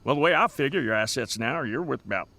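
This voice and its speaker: A man's voice: medium pitch, expressive, fast talker, sharp tone. An actor playing a weary, plainspoken Montana rancher in an audio drama, folksy and stoic as he talks. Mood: plain, resigned. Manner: folksy, stoic, matter-of-fact storyteller. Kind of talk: naturalistic audio drama dialogue.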